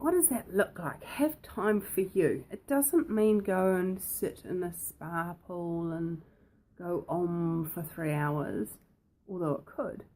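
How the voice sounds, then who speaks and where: A woman with a croaky voice talks straight to the viewer as a host. Her manner is playful and repetitive, and she keeps asking questions. Her pitch sits in an ordinary middle range. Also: dark tone, a little hiss or hum. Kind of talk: casual talk to camera.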